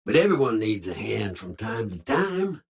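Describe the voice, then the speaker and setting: Folksy robot cowboy drifter for a video game: husky Southern drawl, wildly swooping pitch, friendly with a sly edge. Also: male voice, sing-song, normal speed, heavily acted. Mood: friendly, evasive. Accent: American Southern cowboy drawl. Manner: folksy slang, theatrical swooping delivery. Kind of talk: video game character dialogue.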